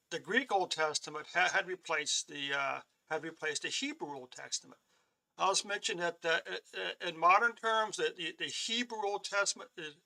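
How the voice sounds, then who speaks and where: High, very bright male Bible teacher. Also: poor audio. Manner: halting, many restarts and throat-clearing; checks in with "okay?" often. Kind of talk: live-streamed Bible lesson.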